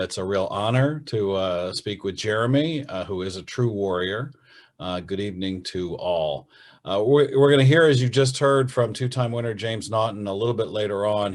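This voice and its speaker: A man hosting a virtual interview, speaking casually and haltingly with many "uh" and "um" fillers and restarts over a video call. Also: lowish voice. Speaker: radio host and interviewer.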